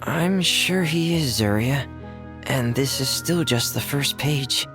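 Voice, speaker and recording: A man playing a shy, soft-spoken, kind young man in a video game, hesitant, earnest and understated. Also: medium pitch, slow, music in the background, close to an everyday voice. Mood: reassuring. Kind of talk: video game character acting.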